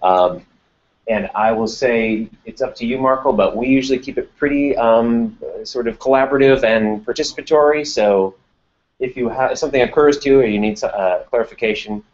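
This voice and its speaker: A man's voice: mid-range voice, brisk, darkish tone, dull audio. A man hosting a meeting, introducing the guest in a loose way, full of uh and um. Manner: loose, filler-heavy, many uh and um. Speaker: host introducing a speaker.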